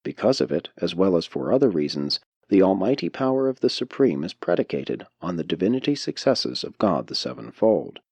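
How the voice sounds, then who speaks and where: A man narrating a spiritual audiobook from a script in a measured, formal way with deliberate enunciation. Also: deep voice, very animated, creaky, darkish tone, slightly rough, no room sound. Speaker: audiobook reader.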